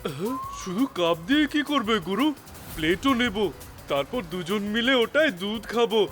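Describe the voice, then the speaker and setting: A naive, good-natured cartoon pal in a high, animated voice. He chatters with total innocence and no guile, as music plays underneath. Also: normal speed. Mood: innocent. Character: naive, simple-minded, good-natured male cartoon sidekick. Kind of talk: cartoon voice acting.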